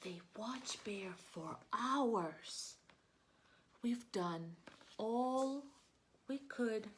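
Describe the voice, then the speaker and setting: A woman reading a children's storybook aloud as a preschool teacher, expressive, in simple child-directed speech with questions she answers herself. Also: a little high, drawn-out words.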